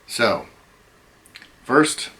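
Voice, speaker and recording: A middle-aged man hosting a casual chat, rambling with many ums, his voice going hoarse. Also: drawn-out words, bright, forceful tone. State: losing his voice. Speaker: host.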